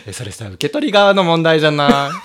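A man's voice: a little high, big pitch swings, brisk, crowd noise. A man co-hosting a podcast in casual, playful banter with blunt, teasing retorts. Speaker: podcast co-host.

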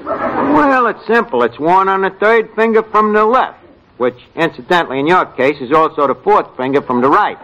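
A man's voice: sits high, lively intonation, moderate pace, a bit bright. An actor playing a wisecracking, uneducated New York tavern manager in a 1940s radio comedy, mangling his grammar. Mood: mock-serious. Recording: muffled, noisy 1940s radio with audience.

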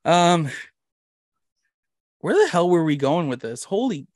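A man's voice: on the high side, big pitch swings, takes it slowly, darkish tone, no echo, studio quality. A man with a Canadian accent hosting a podcast in casual chat, rambling, jokey and self-deprecating. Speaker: podcast host.

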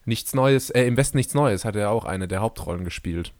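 A man's voice: on the low side, a little fast, a little mellow, no room sound. A dry, rambling man in casual chat. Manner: rambling, hesitant, ironic. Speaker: podcast co-host.

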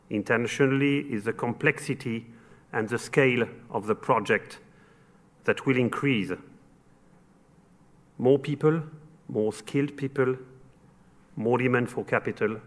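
A man from France with a French accent giving earnest, formal remarks as an event sponsor, in short measured phrases. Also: mid-range voice. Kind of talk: formal closing remarks at a luncheon speech.

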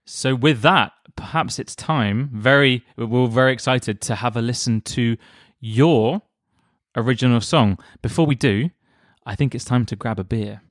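A man's voice: medium pitch, unhurried, dry recording. Guy cohosting a music podcast, dry sound, talks it through with ums and restarts. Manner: musing, with frequent fillers and restarts. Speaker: podcast co-host. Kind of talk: relaxed conversational chat.

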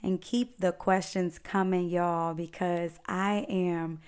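A woman, hosting a solo podcast and chatting warmly as she answers listener questions. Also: fairly low pitch, steady tone, slow pace, clear, resonant tone. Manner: chatty, encouraging, advice-giving. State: slightly raspy voice. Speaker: podcast host.

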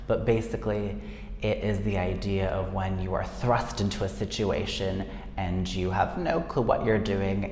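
A man's voice: sits low, gravelly, darkish tone. A man hosting a casual solo podcast, chatty and self-deprecating, often laughing. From United States. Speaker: podcast host. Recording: echoey room with long reverb and faint noise.

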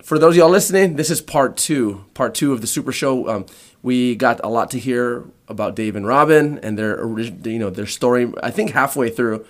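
A man's voice: mid-range voice, normal speed, few pauses. A man in his forties hosting a casual podcast, rambling a little and restarting phrases as he lays out the show. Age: 40s. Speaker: podcast host.

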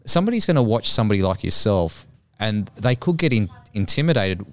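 A man's voice: lowish voice, big pitch swings, brisk. A man hosting a podcast, chatting in an encouraging way and often backing up his guest. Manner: encouraging, frequent backchannel. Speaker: podcast host. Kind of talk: casual podcast conversation.

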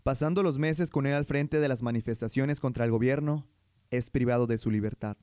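A man's voice: medium pitch, fairly quick, a little mellow, soft background music. A man presenting and narrating on radio in a scripted, formal announcer style.

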